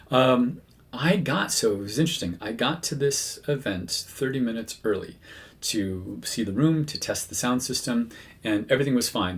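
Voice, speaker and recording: Low-voiced man chatting easily, full of fillers. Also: fairly bright tone. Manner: conversational, many fillers and self-corrections. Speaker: medium, channel host. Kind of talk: casual talk to camera.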